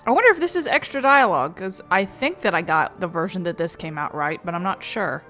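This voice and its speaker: A woman's voice: lowish voice, expressive, fairly quick, fairly bright tone, soft background music. A woman hosting a game playthrough, commenting casually and reading dialogue aloud. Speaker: gaming video host.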